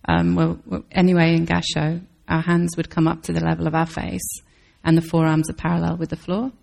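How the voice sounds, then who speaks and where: A woman's voice: low-pitched, a little fast, creaky. A woman Zen teacher answering audience questions in a thoughtful, searching way. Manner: thoughtful, searching, with restarts and soft laughs. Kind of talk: dharma talk Q&A answers.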